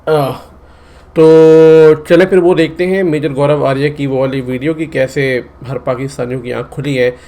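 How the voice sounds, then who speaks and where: A man's voice: on the high side, fairly even intonation, moderate pace, warm tone. A man with a Delhi Hindi accent from India, hosting a casual reaction video in an earnest, sometimes pleading way.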